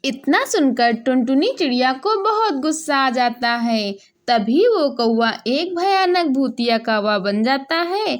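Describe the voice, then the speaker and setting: High-voiced woman narrating a children's story. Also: lively intonation, unhurried, few pauses, faint music behind.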